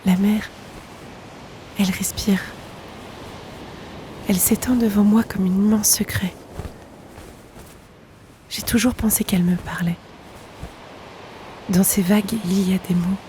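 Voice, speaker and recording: Woman playing a hushed, grieving, wistful woman. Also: mid-range voice, fairly even intonation, a little slow, pauses often, a little hiss or hum, close to an everyday voice. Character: grieving, wistful woman searching the sea for her lost brother. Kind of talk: French audio drama acting.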